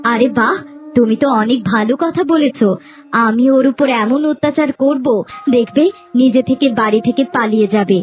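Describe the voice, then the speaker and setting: A haughty young cartoon wife acted by a woman, cruel and scheming. Her high voice is bossy and sharp-tongued, with faint music behind it. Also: moderate pace, lightly acted. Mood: gleeful, scheming.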